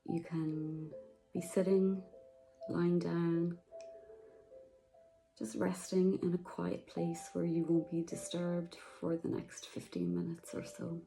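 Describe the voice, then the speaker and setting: A woman guiding a meditation, speaking softly and slowly with long pauses. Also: lowish voice, level tone, creaky, faint music behind, poor audio. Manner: slow, soft, soothing, with long pauses. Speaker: meditation guide. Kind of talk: guided meditation.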